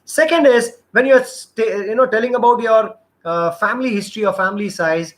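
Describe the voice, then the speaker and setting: A middle-aged man coaches job applicants in a live session. His voice sits high, and he talks in an earnest, rambling way, often restarting his sentences. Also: brisk. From India. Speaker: interview trainer.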